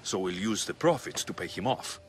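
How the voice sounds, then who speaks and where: An actor playing a young mercenary in a video game, wry and put-upon, with a blunt, grumbling manner. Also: male voice, low-pitched, lively intonation, normal speed, slight background noise. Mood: dry, blunt. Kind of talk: video game cutscene acting.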